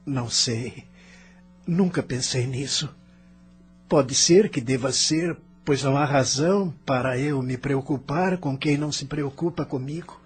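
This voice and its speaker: A man's voice: medium pitch, a little slow, husky. A Brazilian man acting a bitter, self-justifying spirit in the afterlife in an audio drama, defensive and questioning. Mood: doubtful, guarded. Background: music.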